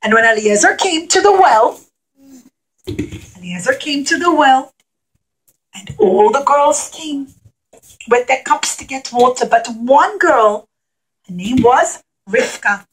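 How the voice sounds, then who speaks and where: A woman with a high voice leads a puppet show for children. She narrates in simple phrases with strong emphasis. She speaks to her young listeners as an encouraging teacher. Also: lively intonation, takes it slowly, pauses often, slightly rough, poor audio.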